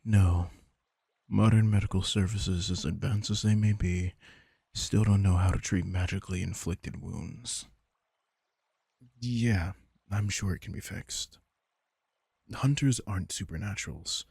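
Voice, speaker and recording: A deep, very husky male vampire king, acted by a man, protective and worried over an injured companion. He talks slowly and tenderly in an intimate audio roleplay, close on the mic. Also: fairly even intonation, a little mellow, no room sound, heavily acted. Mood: grave, explaining. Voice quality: gravelly, husky.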